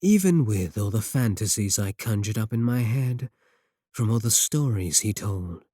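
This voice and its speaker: A man playing a seductive, possessive evil warlock in an intimate romantic audio roleplay, teasing and deliberate, with a husky voice. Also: fairly low pitch, animated, dark tone, no room sound, clean studio sound. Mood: possessive, pleased. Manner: slow, teasing, deliberate, with drawn-out phrasing.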